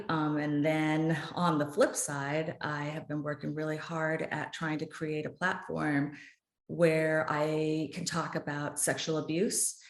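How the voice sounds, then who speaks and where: Low-voiced earnest woman, echoey room. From United States. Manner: earnest, impassioned, speaks with conviction. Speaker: author and advocate guest. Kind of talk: podcast interview.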